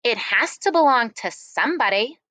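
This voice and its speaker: A woman reader puts on a strict older female teacher in a children's story. She is insistent and commanding, stretching her words with animated pitch in a dry, close sound. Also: normal speed, no room sound. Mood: demanding. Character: stern, insistent older female schoolteacher scolding her class.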